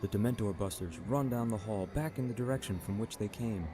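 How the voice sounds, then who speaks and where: A man's voice: lowish voice, fairly quick. A man narrating a scripted radio drama in a dramatic storytelling manner. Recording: music bed behind the voice.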